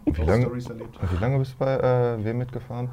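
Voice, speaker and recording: A man's voice: medium pitch, normal speed, mellow, a little hiss or hum. A man in his thirties chatting casually as a trainee guest on a podcast. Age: 30s. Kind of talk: casual chat.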